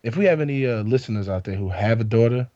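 A man's voice: mid-range voice, lively intonation, fast, dull audio, a crowd in the background. A man co-hosting a podcast, talking in easygoing, reflective casual chat. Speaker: podcast co-host.

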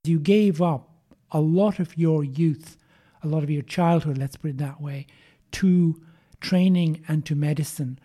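Mellow-voiced man hosting an interview podcast. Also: a little high, unhurried, breathy edge. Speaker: podcast host interviewing a guest. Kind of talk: measured interview conversation.

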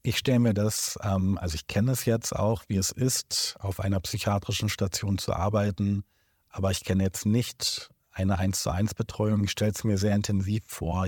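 A man hosting a podcast interview indoors, speaking in a measured, formal way as he introduces the guest. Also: on the low side, darkish tone, breathy edge, dry recording, clean studio sound. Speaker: podcast host. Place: indoor room in Berlin.

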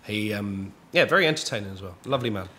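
Bright-voiced easygoing beer shop guy. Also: fairly low pitch, animated, fast, slight background noise. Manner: easygoing and chatty. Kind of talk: casual chat.

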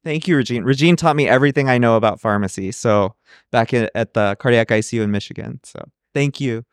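A man's voice: medium pitch, quick pace, no echo, studio quality. A man hosting a podcast with casual on-the-spot interviews, in an upbeat, appreciative manner. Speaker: podcast host.

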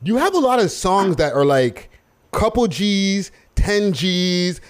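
Man, somewhat high-pitched voice, interviewer, informal slangy questions. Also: big pitch swings, slow pace, smooth. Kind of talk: casual slangy chat.

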